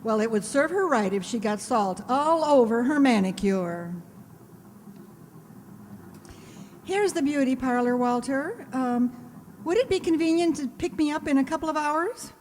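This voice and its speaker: A woman's voice: high voice, lively intonation, moderate pace, darkish tone, slight background noise. An actress playing a wry female schoolteacher with a dry, sardonic delivery in a comic radio play. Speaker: amateur radio-play actor. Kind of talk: comic old-time radio sitcom acting. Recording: echoey hall with long reverb.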